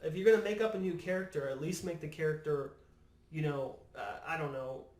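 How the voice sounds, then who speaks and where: A man's voice: on the high side, brisk, a little mellow. A man chatting casually and giving opinions as a podcast guest, emphatic and often repeating his words for effect. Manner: emphatic, repeats words, mimics imagined remarks. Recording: roomy, echoing room.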